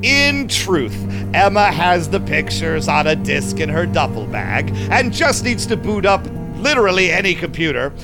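A man's voice: on the high side, very animated, normal speed, few pauses, music in the background. A man playing a shady, condescending vampire businessman in an audio drama, with an unctuous, theatrical, confiding manner. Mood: smug, confiding. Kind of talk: audio drama acting with narrated asides.

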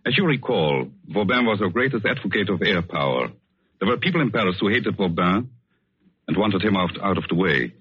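Deep-voiced, tender lovelorn painter. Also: male voice, animated, a little fast, slightly rough, no room sound. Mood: grave, pointed. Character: tender, wistful male painter in love, refugee from France. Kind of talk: 1940s radio drama acting. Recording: dull old radio recording, music behind.

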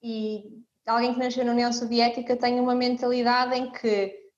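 A woman's voice: on the high side, level tone, moderate pace, muffled recording. A young woman from Ukraine, talking reflectively as an interview guest. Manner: reflective, speaks in short clauses with frequent "não é?". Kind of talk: casual interview conversation.

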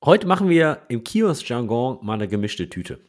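A German man co-hosting a podcast, chatting casually and telling lively anecdotes. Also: mid-range voice, moderate pace. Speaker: podcast co-host.

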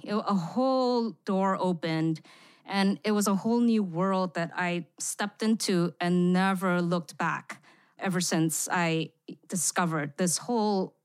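A woman's voice: lowish voice, dry recording. A woman raised in Singapore, a writer speaking as a podcast guest in a reflective way, with many "you know" fillers. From born in Indonesia, raised in Singapore. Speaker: guest, immersive experience writer. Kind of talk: podcast interview.

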